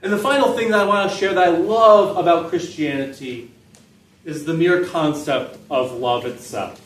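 A middle-aged man preaching a sermon in an open-air park pavilion, with measured delivery and phrases that trail off softly at the ends. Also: on the high side, distant mic. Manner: measured preaching with falling, soft phrase endings. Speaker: pastor. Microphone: stand mic, filmed from a distance. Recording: echoey pavilion, voice from off-mic.

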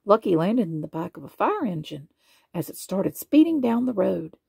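Expressive woman reading a bedtime story. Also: medium pitch, normal speed, creaky, husky, dry recording, studio quality. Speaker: storybook reader.

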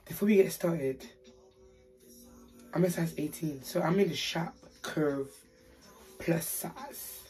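A young woman with a deep, raspy voice, chatting playfully and slangily to camera in a small bedroom. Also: slow pace, pauses often, mellow, faint music behind. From United Kingdom. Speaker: haul vlogger. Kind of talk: casual chat to camera.

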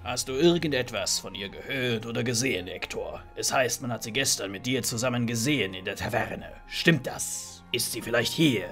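A man's voice: mid-range voice, normal speed, few pauses, fairly bright tone, soft background music, natural voice. A man playing an agitated, stubborn dwarf father in a fantasy audio drama, urgent and questioning.

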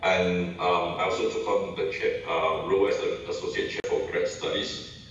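A man from Singapore delivers an academic talk remotely, his very low voice hesitant and full of fillers. His sound comes through a video call played over hall speakers, distant, muffled and ringing with heavy echo. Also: level tone, moderate pace, fairly bright tone, a little hiss or hum. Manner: casual, hesitant with many fillers. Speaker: keynote speaker, associate professor. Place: large lecture hall.

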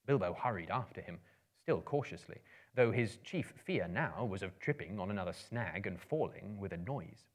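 A man in his thirties giving a theatrical, suspenseful narration of a storybook in measured phrases. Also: low voice, lively intonation. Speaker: narrator, dramatic reader. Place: indoor book-lined room, live audience.

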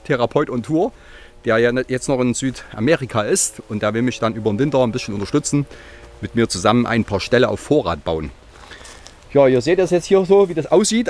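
A man in his forties with a slight cold, chatting casually and rambling cheerfully to a handheld camera outdoors on a rural yard. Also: mid-range voice. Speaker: vlogger. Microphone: handheld camera at arm's length.

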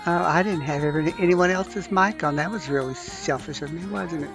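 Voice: mid-range voice, a little fast, music in the background. A host reading scripture aloud and chatting casually with the group over online voice chat. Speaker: scripture class reader and host. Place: voice chat in an online virtual world.

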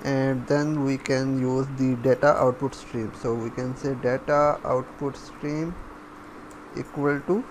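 Slow-paced man narrating a coding tutorial. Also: mid-range voice, low-quality recording. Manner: halting, thinking aloud while typing. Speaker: programming tutorial instructor. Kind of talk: step-by-step coding screencast narration. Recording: faint background noise.

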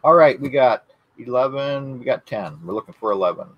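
A man's voice: mid-range voice, expressive, brisk, dry recording, highs cut off. A man hosting a casual live auction in a folksy, courteous way, thanking people like buddies. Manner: folksy, courteous, calls bidders buddy and brother. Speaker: auction host showing coins.

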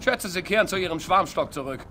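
A man's voice: sits high, expressive, a little fast, sharp tone, a little hiss or hum. An actor playing a young, commanding soldier who leads his squad with urgency in a video game. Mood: wary. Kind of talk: video game voice acting, German dub.

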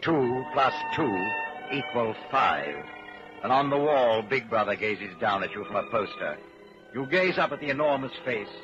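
An actor playing a grave storyteller, narrating in a deliberate, dramatic 1940s radio style. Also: male voice, mid-range voice, animated, natural voice. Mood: grave, brooding. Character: grave male storyteller addressing the hero as you. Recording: muffled vintage 1940s radio recording. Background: loud music.

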